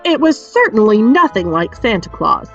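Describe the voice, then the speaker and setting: A woman narrating a children's story aloud in an expressive, scripted storybook style. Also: on the high side, moderate pace, lo-fi sound, soft background music. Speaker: audiobook reader.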